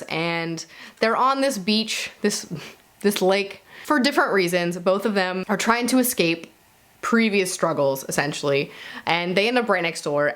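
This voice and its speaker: Female video reviewer, mid-pitched, informal and conversational, chatting straight to her audience in a casual wrap-up. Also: a bit bright. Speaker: book reviewer and video host. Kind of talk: casual monthly reading wrap-up to viewers.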